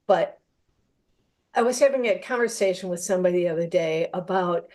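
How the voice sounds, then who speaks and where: A woman's voice: lowish voice, a little fast, darkish tone. A woman moderating a panel conversation, choosing her words carefully and somewhat tentatively as she frames her questions. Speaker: moderator, theater maker. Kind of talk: panel discussion, posing a question.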